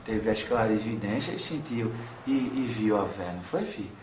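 A man's voice: lowish voice, moderate pace, echoey room, slight background noise, close to an everyday voice. A man speaking as a wise, tender old grandmother spirit in a spiritual counselling session, soothing and calling the listener son again and again. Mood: gentle. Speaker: spirit medium.

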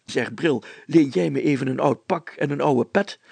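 Guy putting on a stubborn vain town mayor for a storybook, blustery and exclaiming, pitched up high, gravelly. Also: normal speed, a little mellow, no echo. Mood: excited, scheming. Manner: blustery, exclaiming, self-satisfied. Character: excitable, stubborn, vain small-town mayor, a grown man.

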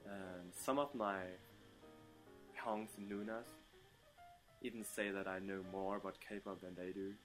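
A young man from Germany answering interview questions casually, with many pauses and ums and playful outbursts. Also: sits low, fairly even intonation, faint music behind, poor audio. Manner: hesitant, many ums, bursts into playful loud laughter. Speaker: interview guest.

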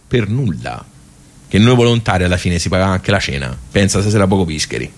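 A low male voice gives us an old Tuscan regular of a social club in a radio play. He rambles colloquially, gropes for words and throws out rhetorical asides. His temper is nostalgic and grumbling. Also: lively intonation, quick pace. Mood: wry reminiscing. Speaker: stage actor. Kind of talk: theatrical radio soliloquy, conversational.

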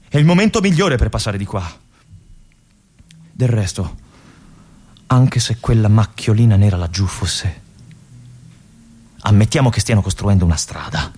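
An actor playing a lonely, obsessive army lieutenant in a stage monologue, musing aloud and swinging between brooding and feverish. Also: male voice, lowish voice, big pitch swings, moderate pace, long pauses, darkish tone, slightly rough. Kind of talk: theatrical monologue for radio.

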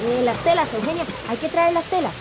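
A practical young village woman, voiced by an actress in a radio drama. Her voice is very high and urgent, and rain noise hisses clearly behind her. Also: a little fast, natural voice.

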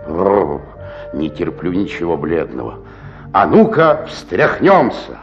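Radio drama: merry drinking craftsman, middle-aged, lowish voice, chuckling and rhetorical, very animated delivery. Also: slow pace, soft background music, heavily acted. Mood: savouring, boastful. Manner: savouring, chuckling, rhetorical, swinging from murmurs to exclamations. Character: boastful jolly middle-aged Burgundian joiner, merry talkative drinker. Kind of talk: theatrical Soviet radio play monologue. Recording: dull lo-fi 1960s radio recording.

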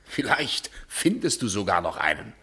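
Radio drama casting: a cold, middle-aged philandering husband, mocking and biting, with lively, swooping pitch. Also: male voice, moderate pace, breathy edge, heavily acted. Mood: irritated, biting. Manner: sardonic, biting. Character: callous philandering husband John, sardonic and cold. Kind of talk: radio play acting.

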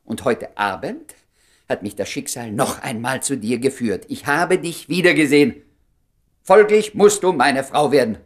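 A man's voice: mid-range voice, unhurried, close to an everyday voice. An actor playing a lovelorn, sardonic bachelor in his thirties in a classic German radio play, with a flustered, ironic, aggrieved manner. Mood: insistent, ardent. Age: 30s. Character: lovelorn, sardonic bachelor doctor of thirty-three, stubborn suitor.

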